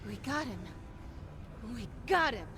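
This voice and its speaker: An actress playing a tough, vengeful pirate captain in a video game, with a wry, hard-edged delivery. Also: female voice, fairly high pitch, expressive, a little slow, very noisy. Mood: vengeful resolve. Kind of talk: video game character acting.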